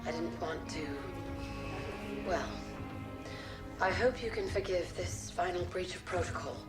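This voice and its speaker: A woman's voice: fairly low pitch, a little slow, lightly acted. An actress voicing a dignified, loyal female starship AI in a game cutscene, formal, composed and a little wry. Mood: composed farewell. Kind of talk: video game cutscene dialogue. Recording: echoey mix over loud music.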